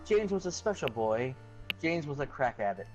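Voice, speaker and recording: A young man delivers a crude joke story in a flat, deliberate deadpan, in a mid-pitched voice. Music plays clearly behind him. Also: rough recording. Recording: music in the background.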